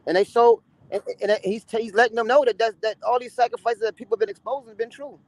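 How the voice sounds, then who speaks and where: A man with a high-pitched voice speaks earnestly in casual conversation. He trips over his words and circles back as he reaches for his point. The recording is dry, with no room sound. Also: steady tone, fast talker, darkish tone, dry recording, poor audio. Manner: earnest, spiritual talk, stumbles over words. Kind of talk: casual chat.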